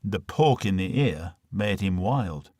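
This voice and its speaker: A man's voice: sits low, lively intonation, slow, darkish tone, no room sound, studio quality. A man with a Northern English accent reading a comic dialect monologue in verse as a dramatic recital. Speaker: poetry reader.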